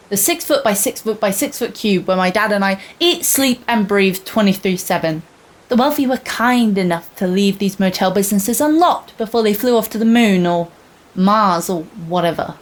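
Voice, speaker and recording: A young woman playing an earnest, wistful girl explorer in a radio play, narrating in a measured, confiding, dramatic way. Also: medium pitch, lightly acted. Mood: wistful, determined. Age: young adult. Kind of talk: scripted first-person radio play monologue.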